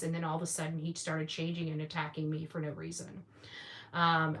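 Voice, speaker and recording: A woman's voice: on the low side, fairly bright tone, low-quality recording. A woman speaking in a casual, opinionated monologue to viewers, blunt and combative, often repeating phrases for emphasis. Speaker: online commentary host.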